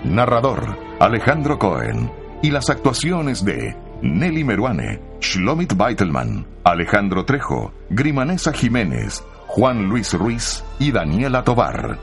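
A male announcer with a Chilean accent introduces a radio play. His voice is gravelly and slow, swinging very widely in pitch, with music behind it. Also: huge pitch swings. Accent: Chilean Spanish. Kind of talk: scripted radio drama introduction.

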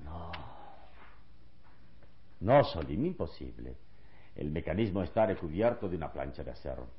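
Calm, wise adventure hero in vintage radio drama; very low voice, deliberate delivery, dull lo-fi recording. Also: male voice. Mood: calm, reasoning. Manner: calm, deliberate, reassuring elder to a boy. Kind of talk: vintage Spanish-language radio serial acting.

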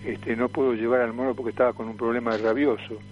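Elderly Argentine man, low voice, muffled sound. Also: little pitch movement, fast talker, a little hiss or hum. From Tucumán, Argentina. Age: 70s. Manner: hesitant, repeats words and phrases. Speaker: radio guest, veteran comic performer and voice impressionist. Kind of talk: casual reminiscing interview.

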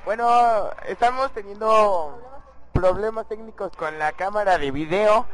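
A man co-announcing a live school broadcast, calmly explaining technical trouble and apologizing. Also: high voice, a little slow. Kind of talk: live event commentary.

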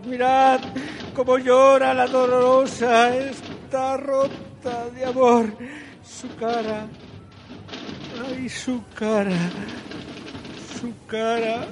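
A man's voice: very high voice, little pitch movement. A man reciting poetry theatrically, in a dramatic manner, as a performer acting out the verses. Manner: dramatic, slow, imitates drums and trumpet with the voice. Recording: loud music behind the voice.

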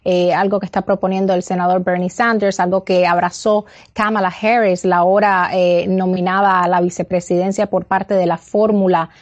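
A woman's voice: fairly low pitch, flat delivery, normal speed, few pauses, muffled recording. Woman speaking with earnest persuasion. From Cuba. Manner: earnest, persuasive, with frequent hesitations. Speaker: interview guest. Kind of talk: political interview. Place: remote, in the United States.

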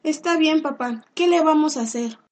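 Sweet young daughter, very high voice played by a woman. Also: normal speed, a little mellow, close to an everyday voice. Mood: resigned. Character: sweet young daughter, devoted to her suitor. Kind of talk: Spanish-language radio soap acting.

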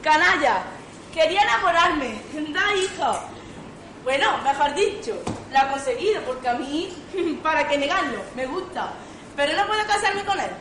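A woman's voice: high voice, expressive, moderate pace. A woman playing a proud young lady, haughty and cutting, in an amateur radio farce. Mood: wounded, scheming. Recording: echoey, noisy amateur recording.

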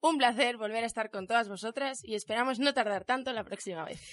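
A woman's voice: fairly high pitch, quick pace, a bit bright, no echo. A woman with an accent from Spain, presenting and bantering casually in a playful, colloquial way. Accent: Spanish from Spain. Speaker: co-host of a feminist radio segment.

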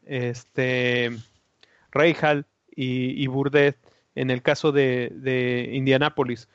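A man with a fairly high voice explains a racing incident step by step, measured and hesitant, often pausing on fillers. The sound is very dry and a bit dull, close and lo-fi. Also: dry recording. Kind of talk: casual motorsport analysis.

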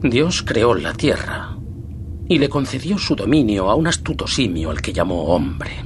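An actor voicing a solemn narrator of a dark mythic prologue, speaking slowly and gravely in a dubbed series. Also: male voice, fairly low pitch, big pitch swings, noisy background. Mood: solemn, ominous. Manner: slow, grave, portentous. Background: loud music.

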